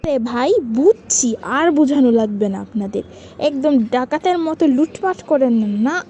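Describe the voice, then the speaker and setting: High-pitched scolding cartoon mother, played by a woman. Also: animated, moderate pace, warm tone, a little hiss or hum. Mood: indignant haggling. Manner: scolding, nagging, argumentative. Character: stingy, short-tempered, scolding cartoon mother haggling over prices. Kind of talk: cartoon skit dialogue.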